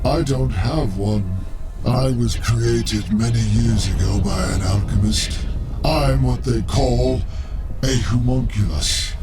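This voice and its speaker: A man playing a weary, distrustful and powerful artificial being in a video game, speaking in a solemn, measured way. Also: medium pitch, over loud music, close to an everyday voice. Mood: bitter, solemn. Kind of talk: video game cutscene acting.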